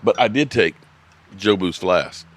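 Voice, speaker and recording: A man's voice: deep voice, a little slow. A man in his fifties hosting a live sports stream, rambling, swearing and laughing as he reads viewers' comments from his desk. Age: 50s. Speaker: sports channel host. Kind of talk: live-stream sports talk, reading chat.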